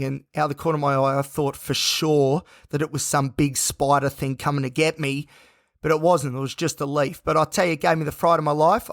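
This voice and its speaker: Man, croaky hoarse voice, gravelly, fairly high-pitched, dry close sound, rambling solo podcast talk. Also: dry recording. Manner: rambling, tired, self-reflective. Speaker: podcast host.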